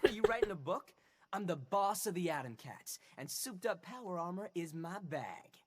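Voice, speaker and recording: Brief: cocky greaser gang boss, slangy, bouncy lively pitch. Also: male voice, moderate pace, slightly rough. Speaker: game character. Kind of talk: video game dialogue.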